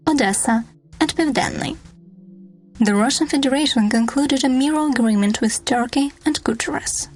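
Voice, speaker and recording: A woman's voice: a little high, poor audio. A woman hosting a podcast, reading scripted documentary narration. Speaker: podcast host.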